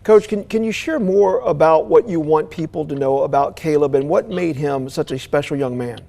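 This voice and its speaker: Higher-voiced American male news anchor. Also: normal speed, vocal fry, mellow. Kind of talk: broadcast news reading and live interviewing.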